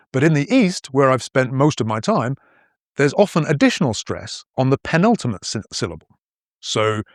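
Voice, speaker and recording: A man from northern England whose accent is mostly posh with a few northern vowels left, telling an educational podcast in a playful, explanatory manner. His pitch swings freely as he talks, and the recording is close and dry with no room sound. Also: no room sound. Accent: English, mostly posh with northern traces. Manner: playful, explanatory, lively storytelling. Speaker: podcast host and language teacher. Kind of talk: scripted educational podcast narration.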